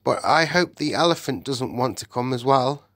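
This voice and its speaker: A man's voice: mid-range voice, lively intonation, moderate pace, a bit bright, no echo, voice pushed up, natural voice. A humble, good-natured old cab horse, as a male storyteller puts him on, modest and a little flustered, with an eager lift in his voice. The sound is dry and close. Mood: easygoing.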